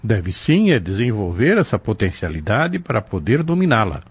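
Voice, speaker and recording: An actor playing a wise pharmacist and spiritual adviser in a Brazilian radio drama, firm, measured and didactic. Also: male voice, fairly low pitch, big pitch swings, breathy edge, no room sound, heavily acted. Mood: firm, assured. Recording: dull audio with music.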